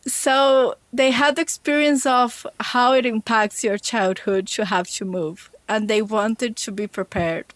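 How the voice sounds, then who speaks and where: A woman speaks as a podcast guest, her voice sitting a touch high. She talks in a reflective, personal way, often voicing her own past thoughts aloud. The sound is dry and close, with no room echo. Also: steady tone, a little slow, few pauses. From Brazil. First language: Portuguese. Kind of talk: reflective conversational storytelling.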